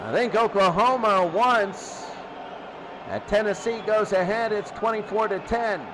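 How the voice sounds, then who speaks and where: High-pitched man calling football, noisy recording. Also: a little slow. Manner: repeats phrases, short clipped calls. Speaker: play-by-play announcer of a football game. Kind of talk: excitable sports play-by-play commentary.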